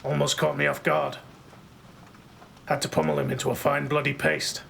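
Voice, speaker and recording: An actor playing a brash, violent yet gruffly protective marshal in an audio drama, blunt and brusque in delivery. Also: male voice, fairly high pitch, flat delivery, normal speed, a bit bright, a little hiss or hum.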